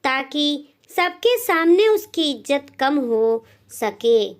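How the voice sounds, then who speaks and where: A woman narrating a scripted moral story as a storyteller, in deliberate phrases with frequent pauses. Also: high voice, sing-song.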